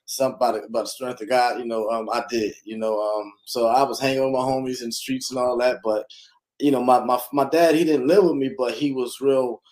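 A man's voice: medium pitch, fairly quick, rough recording. Philly guy, easygoing, rambles through his story with lots of um's, mid voice. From North Philadelphia, USA. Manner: relaxed, rambling, lots of fillers. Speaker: guest, real estate investor and lender. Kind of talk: casual interview storytelling.

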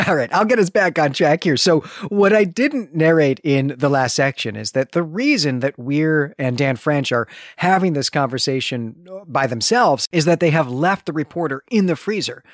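A male podcast co-host with a slightly high voice. He retells the plot of a novel conversationally, as one host to another, and the recording is dry and close, free of room echo. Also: lively intonation, normal speed, few pauses.

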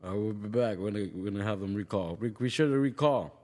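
A man's voice: on the low side, fast talker, darkish tone. A man hosting a radio call-in show, chatting casually with listeners.

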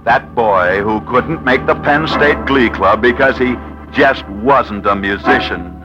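A man hosting in a theatrical, emphatic 1940s radio style, with scripted narration and dramatic pauses. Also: mid-range voice. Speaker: radio host and storyteller. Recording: muffled old radio broadcast, faint music.

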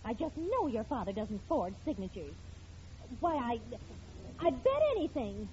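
A woman's voice: fairly high pitch, sing-song, a little slow, a little mellow. An actress playing a sensible, scolding housewife and mother in an old radio situation comedy, firm and exasperated. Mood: indignant. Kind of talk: 1940s-50s radio situation comedy acting. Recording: dull old radio recording, faint noise.

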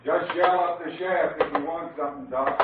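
An actor playing a cheeky, casual American ambulance driver in an old-time radio drama, folksy in manner. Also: male voice, on the high side, normal speed, natural voice. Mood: busy. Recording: noisy, muffled old radio recording with clicks.

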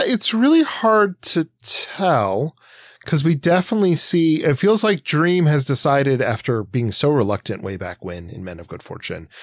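A man's voice: mid-range voice, dry recording, studio quality. A man hosting a podcast, talking through comics analytically in long, winding, hesitant sentences as he thinks aloud. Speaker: podcast host. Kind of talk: casual analytical discussion of comics.

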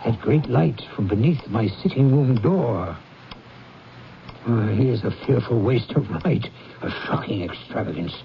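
An actor playing a fearful, penny-pinching old miser turning remorseful, in theatrical, formal 1970s radio drama style. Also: male voice, mid-range voice, animated, measured pace, creaky. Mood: alarmed, grudging. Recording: dull, noisy 1970s radio recording.

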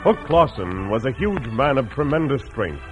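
A man's voice: medium pitch, sing-song, moderate pace, faint music behind. An American man announcing and narrating an old radio western in a declamatory, dramatic style. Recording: muffled old radio recording.

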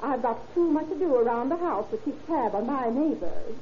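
An actress playing a busy, dismissive housewife neighbor in an old-time radio drama, on a muffled, hissy recording. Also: female voice, high voice, expressive, normal speed, mellow. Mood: offhand, dismissive. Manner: dismissive, offhand. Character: busy, offhand housewife neighbor, unhelpful to police.

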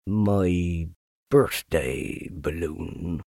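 A gloomy storybook donkey, acted by a man reading aloud. The voice sinks very low and drags along slowly with a husky, mournful murmur, as if talking to itself. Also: dark tone, no room sound, clean studio sound, heavily acted. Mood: gloomy, wistful. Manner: slow, mournful, murmuring to himself. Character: gloomy, slow, melancholy male donkey.

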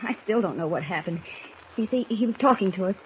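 An actress playing an alert, inquisitive newspaper reporter with earnest delivery, in 1940s radio drama style. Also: female voice, mid-range voice, animated, fast, natural voice. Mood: tense, curious. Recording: muffled old radio recording, faint noise.

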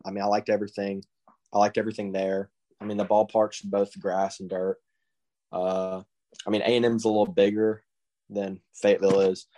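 Teen boy, Southern accent, low voice, monotone, laid-back, lots of "I mean". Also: fairly quick. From Texas. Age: teens. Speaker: guest, high school baseball recruit. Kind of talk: casual interview answers.

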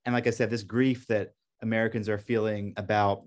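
A man's voice: on the low side, flat delivery, fairly quick. A middle-aged man from Belgium, a documentary director, answering questions in a radio interview in an earnest, reflective way with frequent restarts.

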